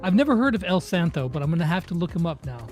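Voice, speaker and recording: A man's voice: high voice, very animated, very fast, a little mellow, faint music behind. A man hosting a casual interview, chatting in a slangy, enthusiastic way. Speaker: interview host.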